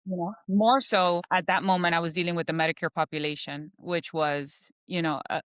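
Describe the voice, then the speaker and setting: A chatty woman guest with a smooth, clear voice, recorded close and dry with no room sound. She talks easily and loosely, peppering her sentences with filler words. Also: on the low side, fairly even intonation, a little fast, dry recording. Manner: chatty, many "you know" fillers. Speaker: guest, insurance professional. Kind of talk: casual interview chat.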